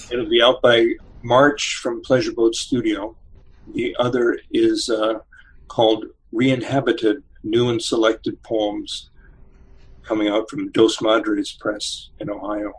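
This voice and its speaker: A man in his seventies, a poet, reading a poem slowly and then answering interview questions with many pauses and fillers. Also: mid-range voice, slight background noise, rough recording. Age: 70s. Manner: slow measured reading, hesitant with fillers when chatting. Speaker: poet and novelist guest.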